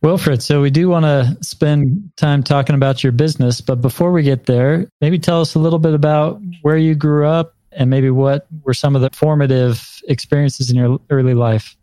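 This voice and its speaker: Mellow, higher-voiced male co-host. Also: fairly even intonation, moderate pace, few pauses, dry recording. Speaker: podcast co-host. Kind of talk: interview questions.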